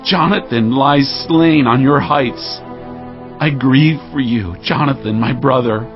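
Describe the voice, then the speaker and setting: A man playing an earnest, devout warrior king, speaking in a measured, declamatory way in dramatized scripture audio. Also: mid-range voice, natural voice. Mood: grieving. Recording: dull lo-fi audio with music.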